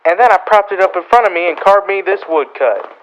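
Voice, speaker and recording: A man's voice: high-pitched, lively intonation, fast talker, fairly bright tone, poor audio. A man playing a gleeful, opportunistic small-town newspaper printer in a Western radio drama, with a folksy, boastful American manner of talking. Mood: eager, gleeful.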